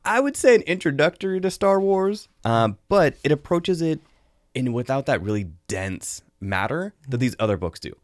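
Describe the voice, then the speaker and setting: A man's voice: a little high, sing-song, normal speed, dry recording, studio quality. An American man hosting a review show, talking casually as he gives his take. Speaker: host of a film and book review show.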